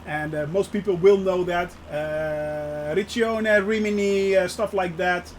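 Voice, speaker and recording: A man's voice: high-pitched, fairly even intonation, takes it slowly, a little hiss or hum. A Dutch man, native Dutch speaker, giving chatty live-stream commentary while flying a sim and answering his chat with frequent laughs. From Netherlands.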